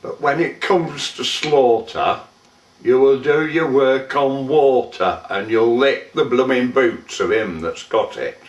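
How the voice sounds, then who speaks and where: A man acts out a rough colonial-era British soldier reciting war verse, gruff yet sentimental. The delivery is slow and theatrical, with weighty phrases. It sounds like a roomy space, recorded at some distance from the microphone. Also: mid-range voice, highs cut off, natural voice. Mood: reminiscing. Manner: theatrical, deliberate, with shouted calls and drawn-out phrases. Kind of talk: dramatic poetry recitation, monologue. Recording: echoey room, distant microphone.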